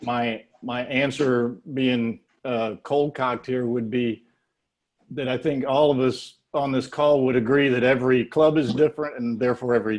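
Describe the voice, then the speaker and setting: A man's voice: medium pitch, darkish tone. A man speaking off the cuff in a folksy, informal way as he hosts a meeting and takes questions on a video call. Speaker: meeting host answering questions.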